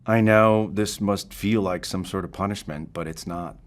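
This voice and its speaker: An actor playing a calm, patient male therapist in an audio drama, speaking in a measured, clinical and reassuring way. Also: low voice, natural voice. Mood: gentle, professional. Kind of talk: naturalistic audio drama acting.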